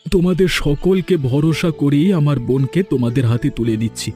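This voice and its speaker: Mellow, earnest protective brother played by a man. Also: fairly high pitch, brisk, faint music behind, natural voice. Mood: earnest, entrusting. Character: earnest, protective, humble village elder brother. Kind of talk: animated cartoon story dialogue.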